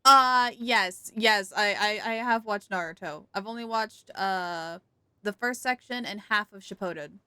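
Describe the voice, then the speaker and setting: A woman's voice: mid-range voice, a little slow, bright, forceful tone, clear, resonant tone, no echo. A woman livestreaming a game with casual, chatty commentary, reacting aloud as she goes.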